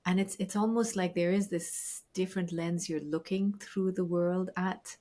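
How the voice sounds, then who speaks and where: Low-voiced woman podcast host. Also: steady tone, moderate pace. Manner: warm, curious, reflective questions with stammered restarts. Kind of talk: interview conversation.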